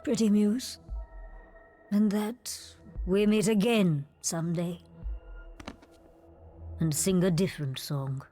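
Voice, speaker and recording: A woman's voice: medium pitch, fairly even intonation, slow pace, long pauses, dark tone, soft background music, close to an everyday voice. An actress playing a cursed, blood-hungry female monster spy who speaks in formal, archaic, pleading phrases in a video game. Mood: pleading, sorrowful. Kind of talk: theatrical video game voice acting.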